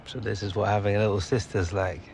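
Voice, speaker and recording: An actor playing an earnest, self-doubting young professional footballer in a video game drama, speaking hesitantly. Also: male voice, fairly low pitch, level tone, fast talker, creaky, darkish tone. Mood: rueful. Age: young adult. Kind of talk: naturalistic game cutscene dialogue. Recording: faint ambient noise behind.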